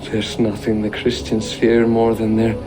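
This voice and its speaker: A man playing a proud, wistful dying warrior king in a cinematic video game scene, solemn and halting. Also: lowish voice, monotone, noisy background, close to an everyday voice. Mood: weary, proud. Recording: music behind.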